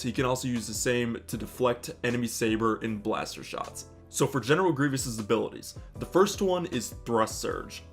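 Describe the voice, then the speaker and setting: A man hosting a gaming channel, reading out details of a video game update with casual, matter-of-fact commentary. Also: medium pitch. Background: music with guitar.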